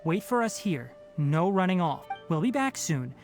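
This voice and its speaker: An actor playing a young, scholarly forest ranger in an anime-style video game, composed, precise and articulate. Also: male voice, high voice, sing-song, normal speed, darkish tone. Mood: instructing. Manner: articulate, explanatory, matter-of-fact. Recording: music behind the voice.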